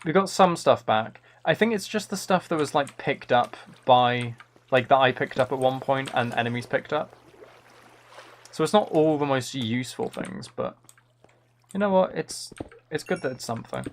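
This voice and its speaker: A man's voice: medium pitch, expressive, normal speed, slight background noise. A man chatting casually as he live-streams a game, thinking aloud in an easygoing running commentary. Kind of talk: casual chat while playing a game live.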